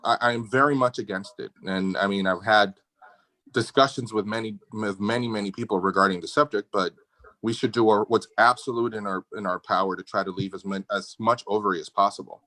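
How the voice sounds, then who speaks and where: A man's voice: on the low side, fairly even intonation, fairly quick, bright, forceful tone, no room sound, low-quality recording. A middle-aged man from the Dominican Republic speaking informally and emphatically as a surgeon in a casual medical discussion. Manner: informal, opinionated, emphatic with slangy asides. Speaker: endometriosis surgeon, guest speaker. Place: video call.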